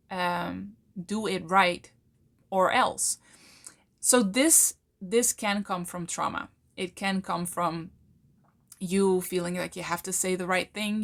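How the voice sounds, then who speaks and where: A Dutch woman, a native Dutch speaker talking in English, explaining things to camera in a thoughtful way, with many fillers and slow, stretched words for emphasis. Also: mid-range voice, fairly bright tone. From Netherlands. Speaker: channel host, coach. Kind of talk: casual explanatory talk to camera.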